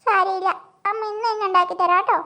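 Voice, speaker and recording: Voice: very high voice, little pitch movement, fast talker, pushed and bright, exaggerated voice. A performer voicing a flustered mother in a comic family cartoon, soothing and placating her children. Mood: coaxing, placating.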